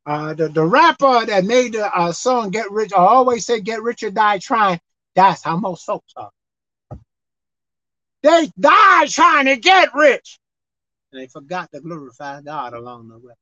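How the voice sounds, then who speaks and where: A woman preacher delivering a sermon with animated, call-and-response delivery, acting out bits of dialogue. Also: mid-range voice, unhurried, no echo. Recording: dull lo-fi dry sound.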